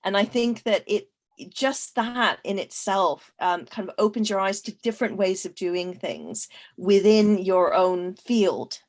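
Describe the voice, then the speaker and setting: A woman's voice: mid-range voice, animated, measured pace, darkish tone. A woman from Canada speaking as a panelist in a casual discussion, thoughtful, with frequent fillers and restarts.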